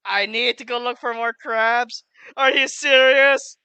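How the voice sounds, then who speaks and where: A man's voice: very high-pitched, fairly even intonation, a little slow, smooth, no echo. A man giving informal gameplay commentary, often muttering to himself as he plays. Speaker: gamer, video host. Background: loud game music.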